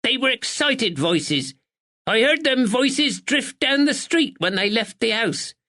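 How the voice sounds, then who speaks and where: A man voicing a sharp, relentless, bedridden elderly mother with a dialect. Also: very high voice, animated, slow, pitched above the performer's own voice, full character voice. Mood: sharp, insistent. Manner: blunt, relentless, dialect "were".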